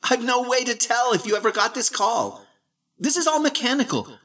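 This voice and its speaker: A man voicing a cocky young man of twenty, mocking and lively in his talk. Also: very high voice, normal speed, far from the mic, voice pushed up, heavily acted. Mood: wry detached.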